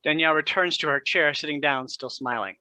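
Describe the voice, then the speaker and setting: Guy reading stage directions, real fast, slightly high voice, scripted narration. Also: pushed and bright, no room sound.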